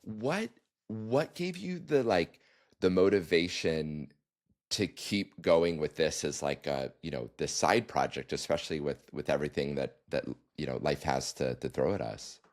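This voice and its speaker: A man hosting a podcast interview, asking enthusiastic, halting questions with many restarts. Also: a bass voice, animated. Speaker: podcast host. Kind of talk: casual interview conversation.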